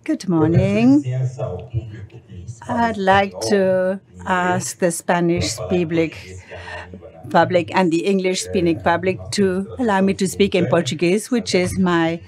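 A woman giving measured live interpretation of a conference speech. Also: lowish voice, fairly even intonation, a little mellow. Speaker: simultaneous interpreter. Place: conference hall.

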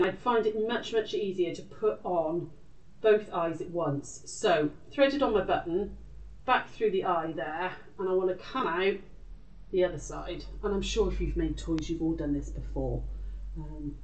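A British woman hosts an informal craft lesson in a chatty, off-the-cuff way. Her voice sits a little low. It is picked up from a distance in an echoey room. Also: normal speed, a little mellow. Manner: chatty, informal, talks to self while working. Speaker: craft tutor and live show host. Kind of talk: casual live craft demonstration.

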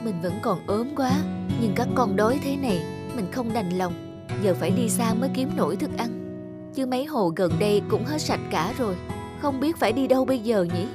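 A woman's voice: on the high side, lively intonation, a little fast, natural voice. A woman voicing an anxious, devoted mother stork in a cartoon, fretting and often talking to herself. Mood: worried, tender. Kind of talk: cartoon voice acting. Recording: loud music behind the voice.

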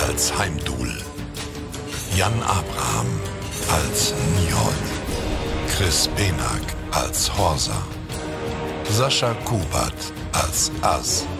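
A very deep-voiced man announces scripted credits. His delivery is slow and gravelly, over very loud music.

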